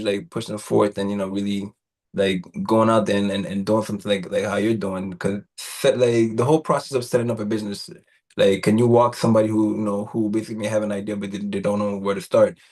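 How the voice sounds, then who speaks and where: A man hosting an interview, asking questions in a loose, halting way with many restarts and fillers. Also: on the low side, a little mellow. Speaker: interview host.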